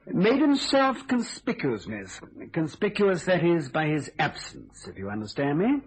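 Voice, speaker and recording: An actor playing a sly, quirky police inspector in a radio thriller, husky, wordy and needling. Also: male voice, on the high side, very animated, full character voice. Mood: sly, probing. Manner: wordy, theatrical, needling, deliberate repetition.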